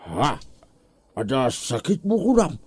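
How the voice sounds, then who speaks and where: A lively, up-and-down voice of a curious, gullible drinking buddy acted by a man with an Ilocano accent. He stretches out puzzled questions in a dryly recorded comedy drama. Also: mid-range voice, slow pace, husky, no echo, heavily acted. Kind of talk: comedy audio drama acting.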